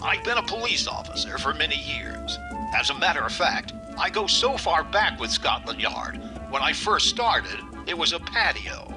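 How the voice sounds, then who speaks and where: An actor playing a self-important veteran police chief inspector, narrating a radio detective parody in a formal, deadpan way. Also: male voice, fairly high pitch, moderate pace, over music, low-quality recording. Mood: pompous, deadpan.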